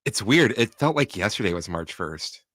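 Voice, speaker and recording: Low voiced guy on sports talk radio, bright tone, just chatting, close dry mic. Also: very animated, normal speed, no echo. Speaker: radio show co-host.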